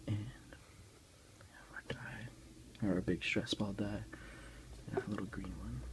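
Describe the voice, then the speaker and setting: A man speaks in a hushed, breathy whisper with a low, crackling fry. His gives the unhurried ASMR show-and-tell an informal, folksy feel. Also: fairly even intonation. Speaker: ASMR video creator. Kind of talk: ASMR unboxing, softly narrated show-and-tell.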